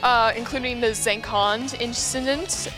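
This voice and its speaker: A young woman co-hosting convention coverage, talking casually with frequent hesitations. Her voice is somewhat high. Music plays clearly in the background. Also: steady tone, measured pace, rough recording. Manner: hesitant, many ums and restarts. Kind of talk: casual chat and interviewing.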